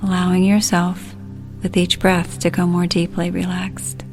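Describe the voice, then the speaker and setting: A woman leading a guided relaxation, speaking soothingly and gently in short, unhurried phrases. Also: fairly low pitch, monotone, faint music behind.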